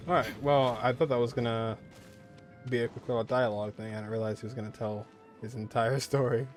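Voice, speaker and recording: Casual male gamer, medium-pitched voice. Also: steady tone, brisk. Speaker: gaming streamer. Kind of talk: casual let's-play commentary. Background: faint game music.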